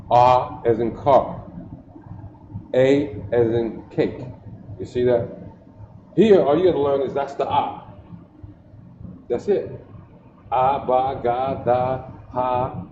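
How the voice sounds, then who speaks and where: A man speaks at a slow, measured pace as he drills letter sounds and keeps checking "you see that?" His voice sits in the middle range, and the dull livestream audio carries a lot of echo and steady background noise. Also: medium pitch. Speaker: teacher.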